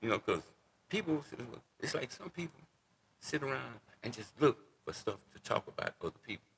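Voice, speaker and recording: A man's voice: medium pitch, sing-song, moderate pace, creaky, fairly bright tone, a little hiss or hum. A man in his sixties, a guest in a casual interview, talking unhurriedly and repeating words as he thinks. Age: 60s.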